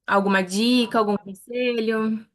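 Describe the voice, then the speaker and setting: A woman's voice: fairly high pitch, fairly even intonation, a little slow. A woman with a Brazilian accent asking informal questions as an interviewer. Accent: Brazilian Portuguese.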